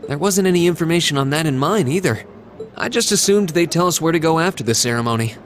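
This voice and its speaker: A man's voice: fairly high pitch, animated, fast talker, lightly acted. A man plays an earnest young military academy student in a game. His voice moves freely as he muses in a casual, reflective way, with a noticeable echo. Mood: casual, friendly. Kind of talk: game voice acting, musing dialogue.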